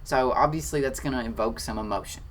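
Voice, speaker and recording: A sixteen-year-old boy from Kentucky with a country accent, chatting excitedly as he answers viewer questions from his home studio. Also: mid-range voice, quick pace. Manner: excitable, chatty, defensive then joking. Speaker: photography channel host. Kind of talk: casual Q&A reading comments.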